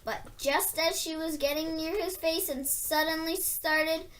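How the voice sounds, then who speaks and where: High, bright female storybook reader, unhurried. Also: steady tone. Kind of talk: expressive read-aloud of a children's novel.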